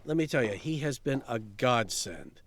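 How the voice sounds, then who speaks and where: Animated, chatty man acting an upbeat guy. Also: mid-range voice, normal speed, slight background noise. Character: chatty, upbeat, friendly male co-worker. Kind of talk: indie audio drama acting.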